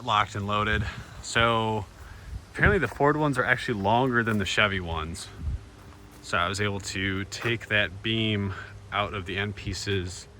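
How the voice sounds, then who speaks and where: A man's voice: on the low side, pushed and bright. An American man giving a casual, laid-back DIY walkthrough to camera in plain-spoken words. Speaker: vlogger showing DIY van upgrades. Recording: noisy handheld recording with vehicle ambience.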